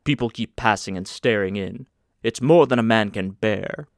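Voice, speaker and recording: A man's voice: fairly low pitch, moderate pace, creaky, dry recording, studio quality. A man voicing a proud, blunt German ship's stoker with an argumentative, vigorous manner in an audiobook. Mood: grumbling, bossy. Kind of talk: audiobook character acting.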